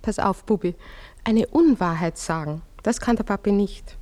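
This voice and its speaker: A woman's voice: medium pitch, moderate pace, darkish tone, natural voice. A woman playing a patient, evasive mother talking to her young son in a German radio play. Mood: evasive, hushing.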